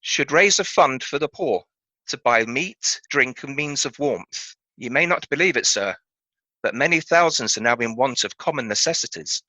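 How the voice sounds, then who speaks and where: A man playing a polite, earnest gentleman charity collector in a radio play, speaking formally and courteously. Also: mid-range voice, lively intonation, normal speed, no room sound, low-quality recording.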